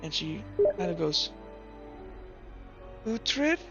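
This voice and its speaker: Male game master, notably high voice, improvised narration and rules chat, slightly muffled with faint music behind. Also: moderate pace, fairly bright tone. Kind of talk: improvised tabletop game narration and table talk.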